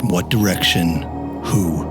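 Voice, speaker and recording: A man's voice: low voice, takes it slowly, over loud music, voice pushed down. A man voicing a stern war captain who asks short, clipped questions in a tabletop roleplay. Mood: stern, urgent. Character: stern, commanding male war captain, interrogating. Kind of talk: improvised tabletop roleplay over music.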